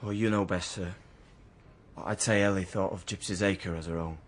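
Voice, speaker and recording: A man's voice: low-pitched, steady tone, normal speed, lightly acted. An actor playing a moody young working-class drifter who narrates and lives out his story in a radio drama. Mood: subdued, guarded.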